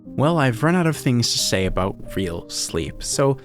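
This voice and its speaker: A man's voice: medium pitch, very animated, measured pace. A man delivering scripted, analytical video essay narration in a conversational way, with casual asides. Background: music.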